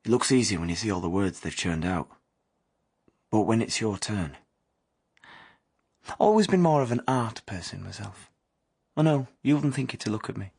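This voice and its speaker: Young working-class drifter, low husky voice, wry confessional radio-drama narrator. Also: male voice, expressive, moderate pace, long pauses, a little mellow, exaggerated voice. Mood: wry, confiding. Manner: colloquial, non-standard grammar.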